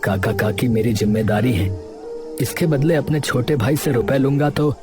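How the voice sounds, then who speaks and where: A man's voice: medium pitch, normal speed, over music, close to an everyday voice. A man voicing an honest, kindly village potter who talks earnestly, in a children's cartoon. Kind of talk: Hindi children's cartoon voice acting.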